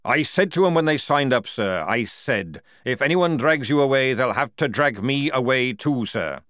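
A man voicing a fierce, loyal old male army sergeant who barks his words in a forceful parade-ground manner. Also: mid-range voice, lively intonation, moderate pace, no room sound, clean studio sound, pitched above the performer's own voice, lightly acted. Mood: stubborn, pleading.

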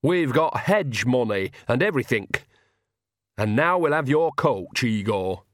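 A man's voice: medium pitch, animated, measured pace, natural voice. A man voicing a brash, cheerful old witch woman who gives orders bluntly in a storybook reading. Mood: bossy. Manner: breezy, blunt and commanding.

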